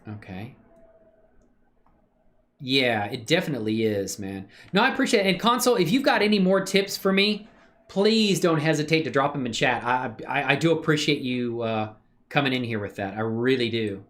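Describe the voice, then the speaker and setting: A man's voice: medium pitch, very animated, normal speed, a bit bright. A man hosts a livestream in a laid-back, chatty style. His mid-range voice moves up and down a great deal as he thinks aloud. He talks slangily and appreciatively to his viewers. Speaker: livestream host showing 3D animation work. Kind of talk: casual chat with live viewers.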